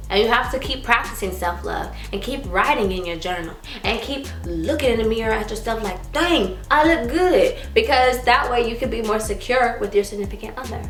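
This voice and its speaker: A woman in her twenties from Texas, chatting casually as she answers viewer questions, giggling often and sometimes trailing into a soft mumble. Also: a little high. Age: 20s. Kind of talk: casual chat. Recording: roomy echo with faint music.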